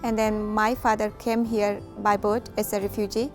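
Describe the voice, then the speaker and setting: A woman's voice: medium pitch, flat delivery, moderate pace, soft background music. A woman from Afghanistan, for whom English is not her first language, giving an earnest interview testimony. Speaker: employee sharing her story.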